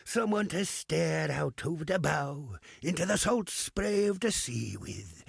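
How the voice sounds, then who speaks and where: A crusty old captain for a video game, roguish and grumbling. The voice is heavily gravelled and very hoarse. It swings widely in pitch through theatrical, salty sailor talk. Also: male voice, dry recording, studio quality, full character voice. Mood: lusty, wistful. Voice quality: gravelly, raspy. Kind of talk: video game character acting.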